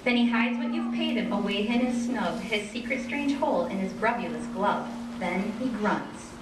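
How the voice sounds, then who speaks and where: A woman's voice: mid-range voice, expressive, moderate pace. A woman narrating a rhyming children's tale in readers theater style. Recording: roomy, distant, faint noise.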